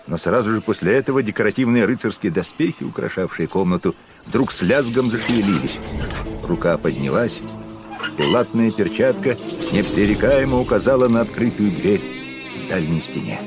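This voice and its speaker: A man with a very deep voice narrates a gothic radio drama in a measured, atmospheric way. Loud music carries beneath his words. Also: lively intonation.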